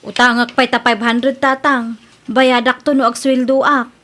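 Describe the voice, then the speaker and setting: A woman's voice: on the high side, level tone, a little slow, a bit bright. A woman with an Ilocano accent playing an evasive younger woman short of money, wheedling in a comedy radio drama. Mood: pleading.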